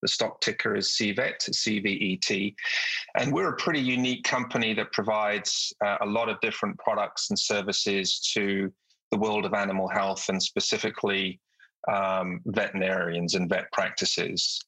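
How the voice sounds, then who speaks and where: A middle-aged British man from the UK, a company executive speaking as a podcast guest, measured with frequent hesitations. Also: on the low side, fairly even intonation, bright, forceful tone, slightly rough, no room sound, low-quality recording. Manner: measured, with frequent ums and uhs. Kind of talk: podcast interview.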